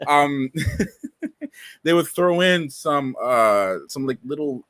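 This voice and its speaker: A man from Guyana talking casually as a panelist in a live discussion, animated and quick to laugh. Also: fairly high pitch, no room sound.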